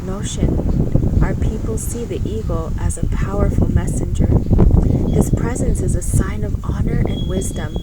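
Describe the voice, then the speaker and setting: A proud, wise old grandfather from a storybook, read by a woman, speaks gently and solemnly. The words come slowly and deliberately, with loud noise in the background. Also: mid-range voice, steady tone, lightly acted. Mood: solemn pride.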